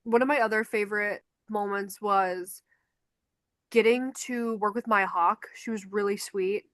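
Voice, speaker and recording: A woman's voice: mid-range voice, a little slow, no room sound, clean studio sound. A young woman with a Chicago accent, a podcast co-host telling stories in casual chat and acting out the quotes. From Chicago, United States. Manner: animated storyteller acting out quotes. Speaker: podcast co-host, concert photographer.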